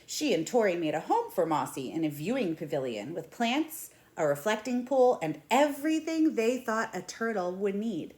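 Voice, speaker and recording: A woman in her thirties reading a picture book aloud in an expressive, deliberate way. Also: medium pitch. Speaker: read-aloud storyteller. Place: small indoor room at home.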